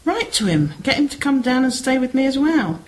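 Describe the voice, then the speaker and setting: A woman's voice: high voice, big pitch swings, brisk, low-quality recording. A woman acting a chatty, concerned village sister in an amateur radio play, gossipy and persuasive. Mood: pleading.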